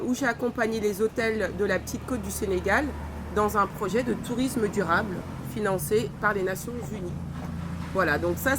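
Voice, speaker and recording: A woman in her forties from France, a podcast guest who talks in a measured, thoughtful way in casual conversation. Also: medium pitch. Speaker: guest, environmental engineer. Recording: faint background noise.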